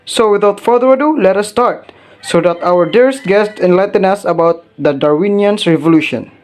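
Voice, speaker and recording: A man's voice: high-pitched, moderate pace. A young man from the Philippines playing a radio DJ host, reading an upbeat scripted introduction. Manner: upbeat announcer tone. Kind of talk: scripted mock radio hosting.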